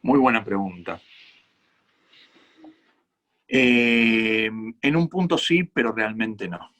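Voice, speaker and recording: A man with an Argentine accent, a rabbi giving earnest, measured answers to audience questions at a conference talk. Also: fairly low pitch, lively intonation, a bit bright. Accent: Argentine Spanish. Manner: earnest, measured, reflective explanations.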